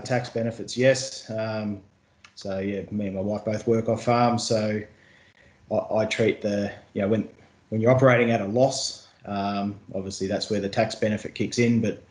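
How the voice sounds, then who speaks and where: Lowish-voiced man in an echoey room. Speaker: farmer on a panel. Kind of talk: casual question-and-answer talk. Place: indoors on a video call. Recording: roomy video-call echo.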